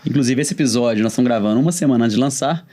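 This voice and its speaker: Friendly mineiro podcast host. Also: male voice, mid-range voice, rapid-fire, mellow. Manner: friendly, laughs often, reads ad. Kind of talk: casual podcast chat.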